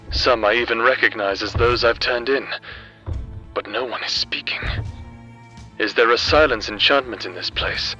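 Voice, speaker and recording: A man playing a proud, analytical captive mage in an audio drama, speaking in a measured, formal, brooding monologue. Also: medium pitch, sharp tone, dull audio, natural voice. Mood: wary, puzzled.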